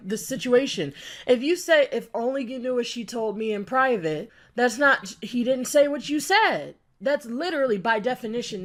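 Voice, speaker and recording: A woman giving a sarcastic, exasperated commentary rant while reacting to a video. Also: a little high. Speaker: commentary youtuber. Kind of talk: reaction rant over a clip.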